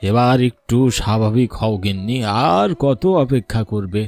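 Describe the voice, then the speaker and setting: A man voicing a patient, gently complaining old village husband in a cartoon, mild and coaxing. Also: fairly low pitch, lively intonation, normal speed, creaky, soft background music. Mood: reasoning. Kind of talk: cartoon voice acting.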